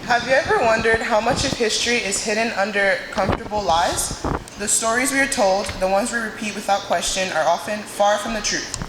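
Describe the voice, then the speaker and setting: Young woman, dramatic speech. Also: mid-range voice. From Nigeria. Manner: dramatic, emphatic delivery with pauses. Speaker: student speaker. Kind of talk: prepared speech to an audience. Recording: echoey hall, noisy room sound.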